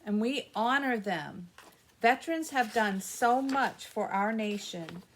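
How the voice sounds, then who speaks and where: A woman's voice: a little high, lively intonation, slow pace, slight background noise, poor audio. An American woman hosting a library story time for children, reading and explaining things simply and patiently in short phrases.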